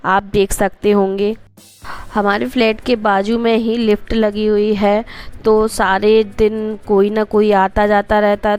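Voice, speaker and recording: A woman vlogger with a Hindi accent from Madhya Pradesh, hoarse from a cold, narrating her daily routine in a chatty, homely way with rambling asides to viewers. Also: mid-range voice, darkish tone.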